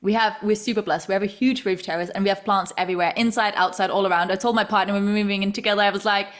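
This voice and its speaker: A woman from Denmark hosting a podcast, talking in a rambling, animated way and often repeating words as she restarts. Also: mid-range voice, racing. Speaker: podcast host. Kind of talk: casual interview chat.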